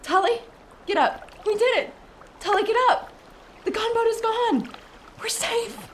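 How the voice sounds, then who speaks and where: An actress playing an urgent, caring woman survivor with an excitable manner in an audio drama. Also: very high-pitched, measured pace, a little hiss or hum. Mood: urgent, relieved.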